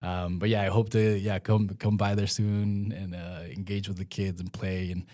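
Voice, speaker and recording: A young man from Mexico with a fairly low voice, speaking in a relaxed interview. He talks haltingly, full of "uh"s and restarts. His pitch sits lower than most men's. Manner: hesitant, many fillers and restarts. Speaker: community health worker and former pro soccer player. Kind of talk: casual interview conversation.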